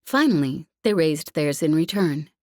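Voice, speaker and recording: Woman narrating a novel, deep voice for a lady, lots of pitch movement, very dry recording. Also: expressive, unhurried, a little mellow, no room sound. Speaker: audiobook narrator. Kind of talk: scripted fiction narration. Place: dry, close recording space.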